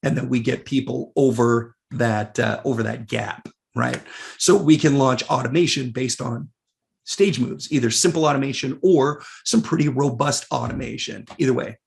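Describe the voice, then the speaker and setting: A man with a raspy voice, losing it and clearing his throat, casually presenting a live software webinar with many fillers. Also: medium pitch, moderate pace. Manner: casual, many fillers, reads out viewer questions.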